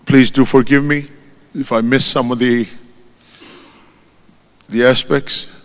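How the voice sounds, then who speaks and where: Slow, solemn man with a Tongan accent. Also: mid-range voice, steady tone. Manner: solemn and measured, lifting his voice on each name. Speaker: eulogist at a funeral. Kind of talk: formal funeral eulogy. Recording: long hall reverb.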